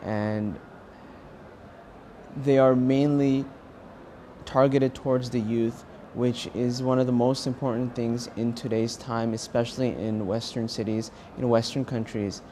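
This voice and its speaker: Young American man, mid-pitched voice, halting earnest testimony, light ambient noise behind. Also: steady tone, warm tone. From California, United States. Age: young adult. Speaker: university student interviewed as a pilgrim. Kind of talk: personal testimony to camera.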